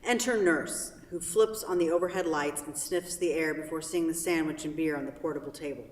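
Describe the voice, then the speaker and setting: Lowish-voiced woman in an echoey hall. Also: brisk. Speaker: stage-directions narrator and event host. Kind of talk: scripted narration and hosting. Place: theatre hall. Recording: very echoey hall, distant mic.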